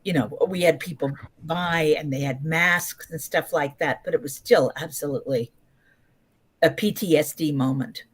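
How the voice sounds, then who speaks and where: An older woman, around her seventies, speaks in a lowish voice as a podcast guest. She tells anecdotes in a casual, emphatic way, slipping into quoted dialogue as she goes. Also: moderate pace, husky.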